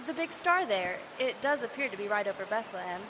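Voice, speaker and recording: An actress playing a persistent field news reporter in a live audio drama, speaking in a brisk broadcast style. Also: female voice, mid-range voice, animated, background noise, close to an everyday voice.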